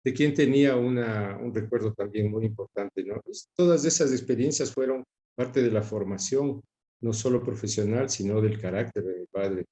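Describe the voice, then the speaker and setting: A middle-aged man with an Ecuadorian accent, reminiscing in an interview and often pausing to search for words. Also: on the low side, dark tone. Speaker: interview guest recalling his father's career. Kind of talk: reflective spoken recollection in an interview.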